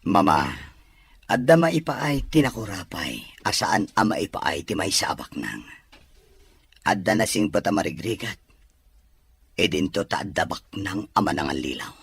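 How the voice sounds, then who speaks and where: An actor playing a rich young son in a radio drama, earnest and pleading, with a breathy, rough voice. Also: male voice, on the low side, sing-song, normal speed, long pauses, a bit bright, full character voice. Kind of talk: Filipino radio drama acting.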